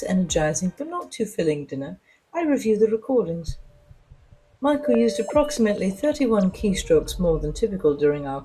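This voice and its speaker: A woman reading scripted first-person fiction as a narrator, in a deliberate, dramatic storytelling manner. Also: fairly low pitch, warm tone, husky, rough recording.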